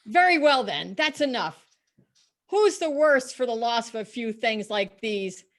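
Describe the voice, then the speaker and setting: A woman's voice: fairly high pitch, lively intonation, measured pace. A woman playing a bold, defiant Victorian charwoman in a dramatic story reading, speaking with scornful emphasis. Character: bold, defiant, unrepentant Victorian charwoman selling stolen goods.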